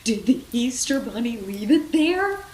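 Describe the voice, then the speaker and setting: Woman as nervous storybook toy dinosaur, male, excitable, swooping up in pitch, echoey room. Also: measured pace. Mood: alarmed. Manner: panicky, exclaiming, dramatic. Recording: roomy echo, background noise.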